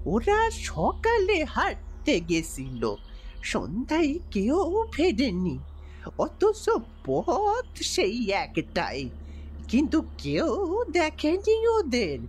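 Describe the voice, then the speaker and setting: A woman playing a frightened, grave old villager in an audio drama, husky and breathy, with halting, mournful delivery. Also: high-pitched, huge pitch swings, a little mellow, heavily acted. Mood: haunted, grave.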